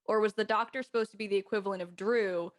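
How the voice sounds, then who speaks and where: A woman's voice: medium pitch, fairly even intonation, quick pace. Slangy woman in casual panel chat. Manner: slangy, speculative. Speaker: cast member on a panel.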